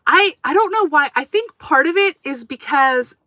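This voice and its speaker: A woman's voice: high-pitched, animated, moderate pace, pushed and bright, no room sound, clean studio sound. A woman whose first language was Japanese, hosting a casual livestream from inside her RV, talking in a rambling, self-correcting way and reading out viewer comments. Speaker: livestream host. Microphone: camera on a tripod.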